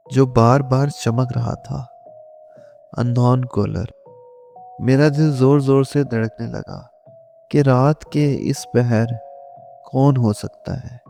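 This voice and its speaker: A man's voice: medium pitch, creaky, dark tone. A man narrating a scripted scary story in the first person, in a suspenseful, dramatic storytelling manner. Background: faint music.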